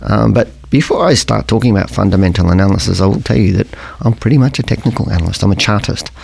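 Croaky, very low-voiced man. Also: animated, fast talker, a little mellow, dry recording. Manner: rambling, self-correcting, conversational explainer. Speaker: podcast host. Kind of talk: casual educational podcast monologue.

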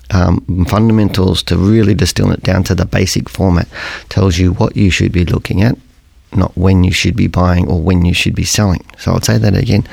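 A middle-aged man with a croaky voice hosting a podcast, explaining things in a rambling, conversational way and often correcting himself. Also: low voice, darkish tone, no room sound. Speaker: podcast host. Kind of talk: casual educational podcast monologue.

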